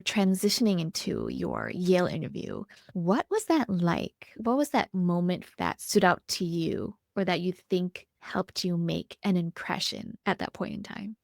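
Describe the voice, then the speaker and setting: A woman hosting an interview, asking questions in an encouraging, brisk way. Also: fairly low pitch, huge pitch swings, vocal fry, no echo, clean studio sound. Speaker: interviewer, host. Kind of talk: casual interview.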